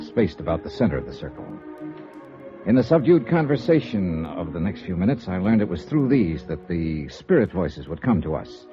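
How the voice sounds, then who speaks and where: Hardboiled american radio detective, deep-ish swoopy delivery, dry and brisk, old muffled radio sound w faint music. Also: male voice. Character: brisk, wry hard-boiled male insurance investigator. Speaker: lead actor and narrator. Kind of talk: 1950s radio noir acting and narration.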